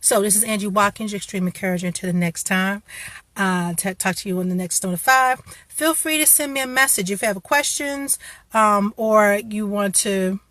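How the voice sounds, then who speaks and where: An encouraging woman gives career advice in a relaxed, chatty way, often stopping to rephrase. Her voice sits in a middle range. The sound is dry and close, with little room echo. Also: medium pitch, moderate pace. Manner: encouraging, conversational, with many restarts. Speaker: career coach host. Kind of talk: casual motivational talk to camera.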